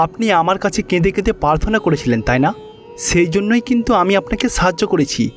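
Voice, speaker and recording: A man playing a calm, benevolent male god in a cartoon story, speaking in a measured, assuring way. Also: high-pitched, music in the background.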